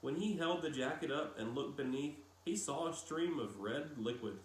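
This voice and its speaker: A man reading a novel aloud as a narrator, with measured phrasing and dramatic pauses and emphasis. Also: a little high. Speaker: audiobook-style reader. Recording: roomy echo with clear background noise.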